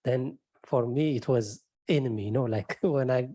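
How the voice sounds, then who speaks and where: A middle-aged man with an Ethiopian accent, a professor on a panel, telling his story in a relaxed way, often adding "you know". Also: mid-range voice, normal speed, no echo, studio quality. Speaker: wind engineering professor, panelist. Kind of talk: panel discussion answer.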